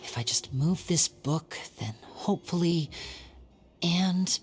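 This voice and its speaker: A man's voice: fairly high pitch, takes it slowly, slightly rough. Suave young male magician. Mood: musing. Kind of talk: video game voice acting. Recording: faint background music.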